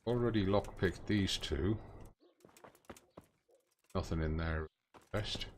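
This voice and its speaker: Deep, slow-talking male game streamer. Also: a little hiss or hum. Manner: muttering to himself and the game in short remarks. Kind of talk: casual gameplay commentary. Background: game music and horse hoof sounds.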